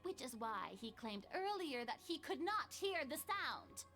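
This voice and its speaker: A woman's voice: high voice, sing-song, a little slow, bright, forceful tone, soft background music, full character voice. A woman voicing a haughty, theatrical young female deity in a video game, making a pompous, declamatory accusation. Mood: accusing, assured.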